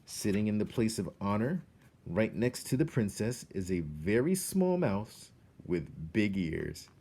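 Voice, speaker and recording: A man reads a storybook aloud in a fairly low, very mellow voice. His delivery is expressive and earnest, turning directly to the listener and letting dramatic pauses hang. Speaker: teacher reading a children's novel aloud.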